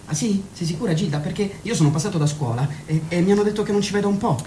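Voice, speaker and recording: A man's voice: high-pitched, fast talker, warm tone, natural voice. An actor playing a sarcastic grown son who teases his mother and protects his sister, in a radio play. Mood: worried. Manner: wry, teasing. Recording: echoey room with hiss.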